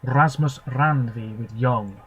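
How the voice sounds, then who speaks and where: A man's voice: medium pitch, slow, a bit bright, slightly rough. A young man hosting his own video, giving short, hesitant first reactions to songs between clips. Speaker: video host reviewing contest songs. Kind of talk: casual off-the-cuff commentary.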